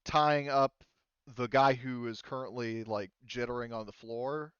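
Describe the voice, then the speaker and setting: A man running a tabletop roleplaying game, talking casually through the rules and thinking aloud in a halting way. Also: mid-range voice, steady tone, fairly bright tone, clear, resonant tone, no room sound, dull audio. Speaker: game master.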